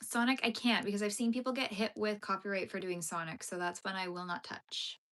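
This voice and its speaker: A young woman talks easily on a live stream at a mid-range pitch while she crochets. She answers her chat with a light laugh and plenty of fillers along the way. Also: level tone, a little fast, fairly bright tone. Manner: chatty, laughs often, filler-heavy. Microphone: phone streaming vertically.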